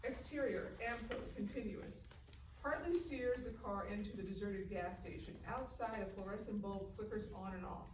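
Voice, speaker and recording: A woman's voice: medium pitch, moderate pace, far from the mic, noisy background. Woman reading stage directions, echoey live room. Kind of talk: scripted narration at a live table read. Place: live festival event room.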